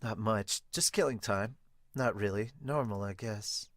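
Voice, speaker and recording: A brooding young volleyball player from a manga dub, acted out by a man. The voice sits low and subdued, speaking in a measured, analytical way. The recording is dry. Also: fairly bright tone, no echo.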